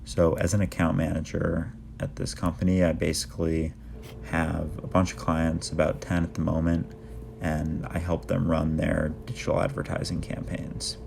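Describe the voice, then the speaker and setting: A young man with a very deep voice and a gravelly edge narrates his day in an easy vlog style. He keeps a flat, monotone delivery throughout, and a faint hiss sits under the recording. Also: moderate pace. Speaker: vlogger. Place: apartment kitchen table and backyard.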